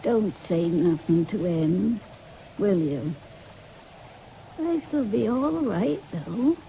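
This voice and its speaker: A woman's voice: medium pitch, animated, slow pace, vocal fry, mellow, slight background noise, low-quality recording. An actress playing an ailing, stubborn, fretful country mother with a rural English accent in a 1970s radio drama. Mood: pleading.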